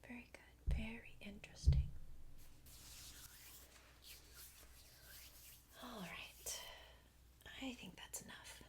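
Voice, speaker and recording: A woman acts out a bossy, know-it-all cartoon girl for an ASMR roleplay. The voice is a high, breathy whisper, close on the mic. The delivery is deliberate and condescending, with a mean streak. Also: close to an everyday voice. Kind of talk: soft-spoken ASMR roleplay. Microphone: close ASMR microphone.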